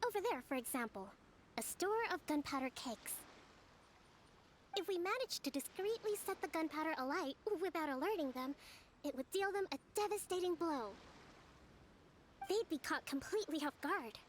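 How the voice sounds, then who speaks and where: A woman voicing a composed young priestess and strategist in a video game, polite and measured. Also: very high-pitched, expressive, slight background noise. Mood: calm. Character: young female priestess and calm grand strategist. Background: faint game music.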